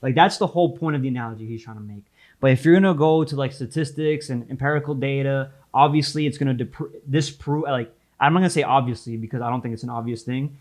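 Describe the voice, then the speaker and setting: A man chats his way through a reaction video in loose slang. He circles back over his own phrases and laughs as he goes. His voice sits at an ordinary middle pitch. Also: quick pace, a little mellow. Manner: slangy, repeats himself, laughs as he talks. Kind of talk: casual reaction commentary.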